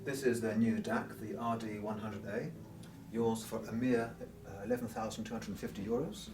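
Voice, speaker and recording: A man's voice: lowish voice, fairly even intonation, normal speed, background noise. A man giving an informal, explanatory technical product presentation as an exhibitor at a show. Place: room at an audio show. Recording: roomy, distant mic, music behind.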